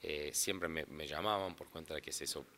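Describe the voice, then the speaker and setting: A man's voice: extremely low pitch, fairly quick, bright, forceful tone. A man from Brazil, a native Portuguese speaker, talking casually in an interview about his work as an interpreter, rambling and restarting often.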